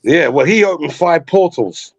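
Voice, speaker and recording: A man's voice: a little high, animated, normal speed, no echo. A man talking casually as a guest on an online call, rambling and leaning on "you know what I mean.". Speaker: guest on a call-in talk show. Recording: muffled lo-fi call audio.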